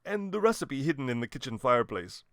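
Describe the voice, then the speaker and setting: A man voicing a starched, polite gentleman guest in a children's storybook, prissy in manner. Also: mid-range voice, big pitch swings, quick pace, dry recording, studio quality. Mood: smooth politeness.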